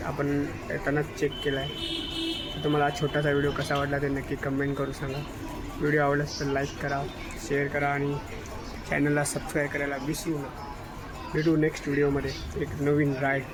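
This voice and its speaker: Male motovlogger, higher-pitched voice, casual narration, little melodic variation. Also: monotone, a little fast, low-quality recording. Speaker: motorcycle vlogger.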